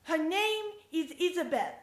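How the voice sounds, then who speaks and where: A woman acts out an indignant old grandmother in an audio drama. She scolds slowly, with big swings of pitch in a very high voice, sure of her piety. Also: very animated, exaggerated voice.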